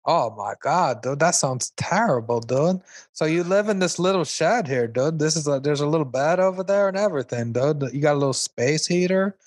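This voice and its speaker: A man's voice: fairly high pitch, expressive, normal speed, no echo, studio quality. A man playing an easygoing, dim slacker talk show host who punctuates his slangy chatter with "dude". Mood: amazed, chatty. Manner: slangy, ending phrases with "dude". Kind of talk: comedic radio chat.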